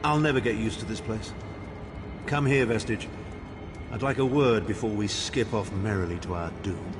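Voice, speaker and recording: A man's voice: on the low side, normal speed, over music, lightly acted. A man playing a weary, blunt warrior comrade in a fantasy game, talking with urgent, wry conviction. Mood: wry unease. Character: gruff, weary, blunt male warrior comrade urging courage. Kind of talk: dramatic fantasy game voice acting. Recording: echoing hall, noisy ambience behind.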